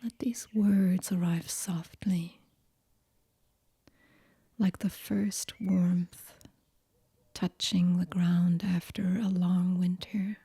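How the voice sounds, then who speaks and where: A woman leads a deep-rest meditation in a hushed, extremely breathy voice, close to a whisper. Her tone is very mellow and slightly low, and she speaks very slowly, leaving long gentle pauses. The sound is close and soothing. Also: flat delivery, no echo. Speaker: yoga nidra meditation guide. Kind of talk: guided relaxation meditation, soft and whispery.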